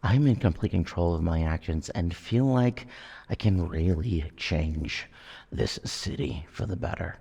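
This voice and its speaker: A menacing, haunted war veteran in an audio drama. The voice is extremely low and gravelly, moving slowly and haltingly with theatrical brooding, in long cavernous reverb. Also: male voice, animated, warm tone, full character voice. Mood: coldly controlled. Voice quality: gravelly, raspy. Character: menacing, traumatized male war veteran turned killer suspect.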